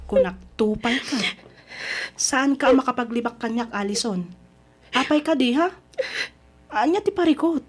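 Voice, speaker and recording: A woman's voice: sits high, measured pace, long pauses, breathy edge. A woman with an Ilocano Filipino accent acting a concerned, insistent best friend in an old-time radio drama, probing and emphatic. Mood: concerned, prodding.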